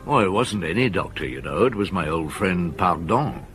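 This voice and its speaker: An actor playing Maigret, a genial, reflective French police inspector past fifty, in radio drama style, unhurried and given to murmured hums. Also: male voice, low voice, animated, music in the background. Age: older. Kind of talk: British radio drama acting.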